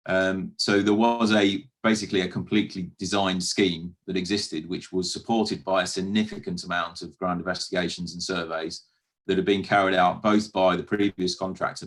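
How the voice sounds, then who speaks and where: A British man speaking as a council officer in a formal meeting, explaining matters in a measured, careful way with some hesitant phrasing. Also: low voice, a bit bright. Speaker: council officer presenting to a committee.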